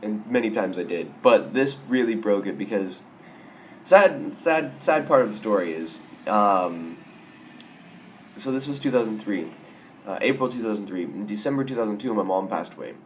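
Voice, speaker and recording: A young man with a raspy, hoarse voice from a sore throat, telling stories in a casual, self-mocking vlog. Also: fairly low pitch, expressive, normal speed, slight background noise. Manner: self-mocking, wry, rambling with restarts. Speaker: vlogger.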